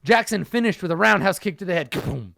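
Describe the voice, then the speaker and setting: A man's voice: high-pitched, animated, fast, gravelly, a bit bright, dry recording, studio quality. A man reading a pulpy story aloud as a storyteller, with wry, sarcastic asides.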